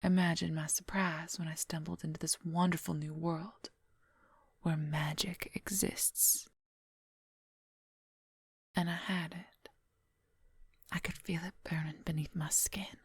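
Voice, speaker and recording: A woman's voice: low-pitched, steady tone, slow, pauses often, no room sound, full character voice. A woman playing a possessive, wry female werewolf lover in an intimate, confiding whispered ASMR roleplay, close to the microphone. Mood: reflective. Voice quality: breathy, husky whisper. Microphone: close microphone, dry.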